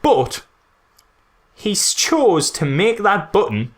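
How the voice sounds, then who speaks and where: A man's voice: high voice, sing-song, slow. A man co-hosting a casual film critique chat, speaking in an incredulous, emphatic, mocking way. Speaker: podcast co-host.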